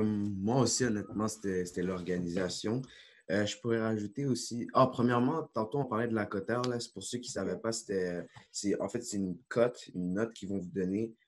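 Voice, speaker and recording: Young Québécois man with a low voice, student guest, relaxed chatty delivery over a video call. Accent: Québécois French. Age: young adult. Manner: relaxed, chatty, frequent "euh" and "là".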